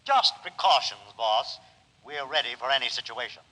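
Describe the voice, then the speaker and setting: A man's voice: mid-range voice, animated, normal speed, bright, forceful tone. An actor voicing a devoted, proud and defiant male robot servant in a 1950s radio drama. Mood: calm, obliging. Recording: 1950s radio recording, long reverberant tail.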